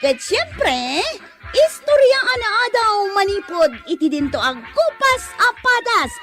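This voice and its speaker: A woman's voice: very high voice, big pitch swings, unhurried, sharp tone. A woman announcing a radio comedy drama in a theatrical introductory style. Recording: music behind the voice.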